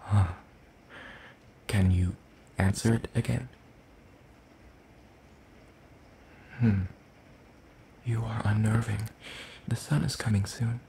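A man playing a lanky, ancient, world-weary demon in a soft ASMR roleplay, speaking in a hesitant, breathy murmur with formal wording. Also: fairly low pitch, steady tone, slow, long pauses, a little hiss or hum. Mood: puzzled, curious, then gentle, fond.

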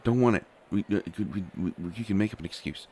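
A man's voice: fairly low pitch, quick pace, warm tone, breathy edge. A man playing an anxious, stammering young prince who is reluctant to become king, in a roleplay audio drama. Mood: pleading, despondent. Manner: flustered, hesitant, stammering, sighing. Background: faint music.

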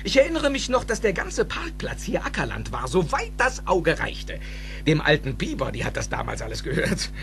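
A man's voice: on the high side, wildly swooping pitch, normal speed, gravelly, fairly bright tone, soft background music, full character voice. An actor playing an eccentric older male scientist, theatrical and emphatic, explaining things with pedantic precision in a German film dub. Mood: dreamy reminiscing. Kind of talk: German dubbed film acting.

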